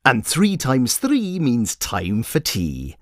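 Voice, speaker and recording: A man voicing a childlike, serene mystical guide in an audiobook story, speaking slowly and ceremoniously in riddles. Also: medium pitch, huge pitch swings, no room sound, clean studio sound, voice pushed up. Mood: cryptic, playful.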